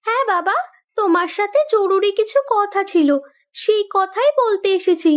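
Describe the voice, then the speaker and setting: A woman playing an earnest young landlord's daughter in a Bengali cartoon, gently protesting and caring. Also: extremely high pitch, moderate pace.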